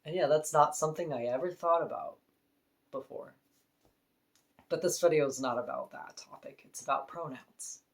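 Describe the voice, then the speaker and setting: A woman with a deep voice, talking frankly and casually to camera in an opinion vlog, now and then laughing softly. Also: moderate pace, long pauses. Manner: frank, conversational, with soft laughs. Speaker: vlogger.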